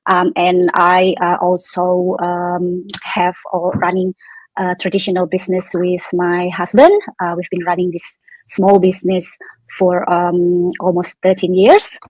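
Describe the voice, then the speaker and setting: Flat-voiced woman from Indonesia. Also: lowish voice, measured pace, no room sound, low-quality recording. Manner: hesitant, frequent ums. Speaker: guest. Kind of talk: casual self-introduction.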